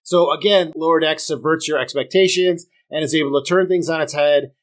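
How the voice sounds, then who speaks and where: A man's voice: on the high side, brisk. A man hosting a casual review podcast, chatty and opinionated, talking straight to his viewers while sick with a sore throat. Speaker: podcast host.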